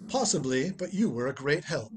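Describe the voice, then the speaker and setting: A man's voice: a little high, animated, moderate pace, a little hiss or hum, lightly acted. A man acting a wry, unflappable upper-class ex-husband in a radio play, dry and teasing. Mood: dry, needling.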